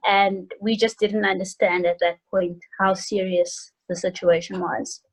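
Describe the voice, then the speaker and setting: A woman's voice: mid-range voice, flat delivery, unhurried, low-quality recording. A woman in her early twenties with a South African accent chats casually and hesitantly over a video call, in an informal student way. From South Africa, Johannesburg. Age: early 20s. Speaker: interview guest, university student. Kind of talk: casual chat. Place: home room indoors.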